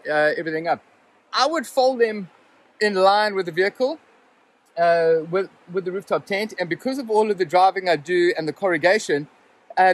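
Male, forties, a touch high-pitched, casual rambling gear-review delivery on a handheld phone. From Southern Africa. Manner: chatty, rambling, with frequent ums and restarts. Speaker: vlogger reviewing his rooftop tent. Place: inside a rooftop tent, then outdoors beside a vehicle in the bush. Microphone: handheld phone camera at arm's length.

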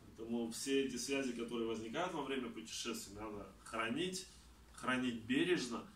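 A man in his thirties from Kazakhstan talks about travel at a middle pitch, gesturing as he answers questions. He is picked up by a camera set well back in a small room, so his voice sounds distant and echoey. A faint hum of background noise sits underneath. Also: normal speed. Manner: animated, gestures a lot while talking. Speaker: traveler giving a talk. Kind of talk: conversational talk answering audience questions. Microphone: far camera.

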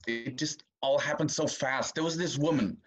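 Cheating husband, nervous and guilt-ridden, young male actor, medium pitch, dull webcam sound, stage drama. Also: moderate pace, lightly acted. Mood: anxious, urgent. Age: young adult. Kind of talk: stage acting in a video-call play. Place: small room indoors. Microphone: video call, webcam. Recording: dull lo-fi video-call audio.

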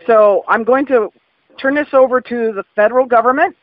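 A person talking casually and bluntly on a telephone call, questioning the other party. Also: male voice, very high-pitched, moderate pace, no echo. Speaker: call recipient. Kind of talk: casual phone conversation. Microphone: telephone, dry close pickup. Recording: dull, lo-fi phone call recording.

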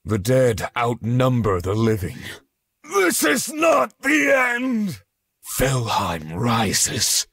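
A man playing a grim, imperious necromancer warlord in a fantasy video game, with a raspy, theatrical delivery. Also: mid-range voice, huge pitch swings, a bit bright, no room sound, studio quality, heavily acted. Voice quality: husky, raspy. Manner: slow, imperious, dramatic, formal diction. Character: grim, commanding undead-raising necromancer warlord.

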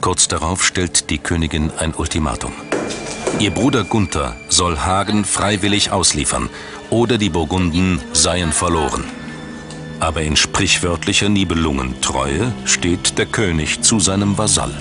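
A man narrating a scripted documentary in a measured, dramatic storytelling manner. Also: deep voice. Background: background music.

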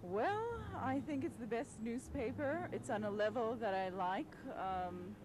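A woman's voice: on the high side, unhurried. A young woman answering casually and a little hesitantly in an outdoor street interview. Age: young adult. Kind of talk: casual vox pop answer. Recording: noisy outdoor street tape.

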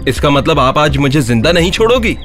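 A man voicing a clever, fearless, polite young villager in an animated Hindi story. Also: on the high side, fairly quick, music in the background. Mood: sly, polite.

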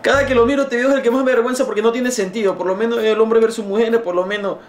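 A man's voice: extremely high pitch, fairly even intonation, racing. A young man giving casual reaction commentary, jokey and embarrassed about himself. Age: young adult. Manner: self-mocking, embarrassed, jokey. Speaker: video creator reacting to own old videos. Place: small bedroom indoors. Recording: echoey bedroom with faint music. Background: music.

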